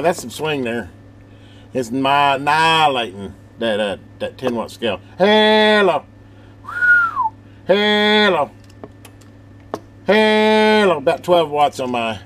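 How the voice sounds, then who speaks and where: Folksy man rambling through a demo, noisy background. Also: mid-range voice. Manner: folksy, informal, thinking aloud. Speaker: hobbyist presenter demonstrating a CB radio. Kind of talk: casual rambling hands-on demonstration.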